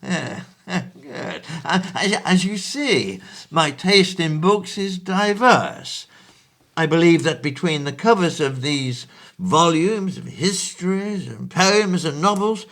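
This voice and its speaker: An actor playing a distinguished, bookish gentleman of the 1820s who hesitates often and speaks in a grand, fussy way. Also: on the high side, exaggerated voice. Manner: hesitant, full of ums and uhs, fussy and grand. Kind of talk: amateur stage play audio.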